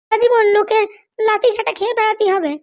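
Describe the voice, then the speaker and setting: A woman doing a very high-pitched bossy cartoon politician lady. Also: steady tone, quick pace. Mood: vengeful. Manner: boastful, haranguing. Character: bossy, vengeful woman politician, scolding wife. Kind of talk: comedy cartoon dialogue.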